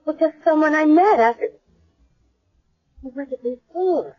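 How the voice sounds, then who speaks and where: An actress playing a timid, bewildered young woman with amnesia in a 1970s radio drama, speaking in a halting, hesitant way. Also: high-pitched. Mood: confused, anxious. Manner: hesitant, halting, repeating words. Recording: dull lo-fi old radio broadcast.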